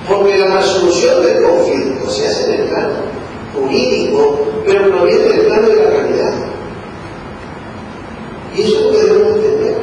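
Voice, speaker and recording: A man with an Argentine accent gives a public conference lecture on law, speaking rhetorically and voicing imagined exchanges. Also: sits high. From Buenos Aires, Argentina. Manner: rhetorical questions and acted-out quoted exchanges. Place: conference hall. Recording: echoey hall from far off, noisy.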